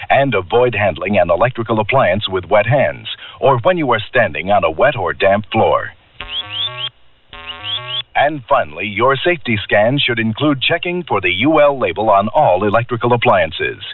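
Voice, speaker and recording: A man reading an orderly, instructive public service announcement for radio. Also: fairly low pitch. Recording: tinny band-limited old radio broadcast, faint music.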